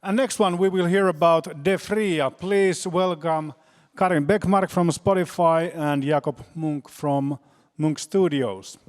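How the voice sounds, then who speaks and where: A man's voice: fairly high pitch, steady tone, a little slow. A man hosting a conference session and introducing the speakers on stage. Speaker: session host. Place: large conference hall. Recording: echoing hall, faint audience noise.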